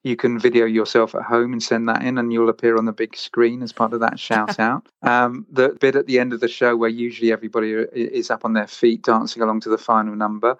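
A man's voice: mid-range voice, little pitch movement, a little fast, few pauses, clear, resonant tone, dry recording. Man explaining, dry muffled recording. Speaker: theatre creative director and pantomime writer, interview guest. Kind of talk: conversational interview answers explaining a show. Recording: slightly dull, band-limited sound.

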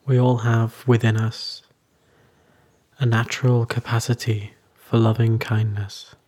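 A man leading a guided meditation as a teacher, soothing and unhurried, with long pauses between short phrases. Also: medium pitch, little pitch movement, darkish tone, slightly rough.

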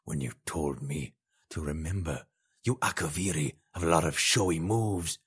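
Man doing a very deep, husky snake-like schemer, slow and gloating, storybook villain. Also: a bit bright, exaggerated voice. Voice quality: hissing whisper. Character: sly, menacing male snake-like potentate.

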